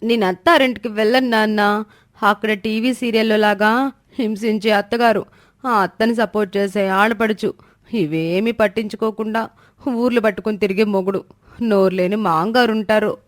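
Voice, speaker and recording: A woman's voice: mid-range voice, steady tone, moderate pace, no echo, studio quality, close to an everyday voice. A woman voicing mischievous young women of a village family in dramatic, chatty story dialogue. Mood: complaining, stubborn. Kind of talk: animated moral story dialogue.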